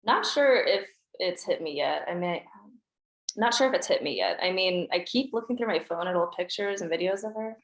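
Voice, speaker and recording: A woman reading a grieving teenage girl at a table read, soft and subdued. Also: on the low side, expressive, normal speed, echoey room. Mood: subdued grief.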